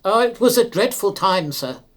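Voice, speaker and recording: A distraught engine driver from a storybook ghost tale, acted by a male reader. His voice is raised above an easy talking pitch, agitated and pleading, yet he stays respectful as he recounts a fatal accident. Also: animated, normal speed, a bit bright. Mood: anguished.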